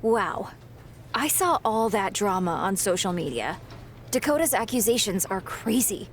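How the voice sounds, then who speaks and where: A woman playing an earnest, dramatic young wrestler friend in a game cutscene, expressive throughout. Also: mid-range voice, unhurried, a little hiss or hum. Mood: dismayed. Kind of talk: video game cutscene acting.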